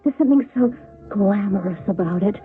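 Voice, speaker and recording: A woman's voice: mid-range voice, lively intonation, moderate pace, faint music behind, natural voice. An actress in an old-time radio drama playing a dreamy, easily thrilled woman office worker who gushes romantically. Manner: gushing, wide-eyed. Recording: dull lo-fi radio recording.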